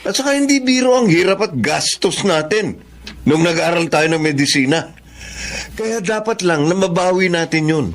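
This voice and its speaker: Stubborn, money-minded Filipino patriarch, middle-aged, highly varied delivery for radio drama. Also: male voice, lively intonation, moderate pace. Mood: indignant. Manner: emphatic, argumentative.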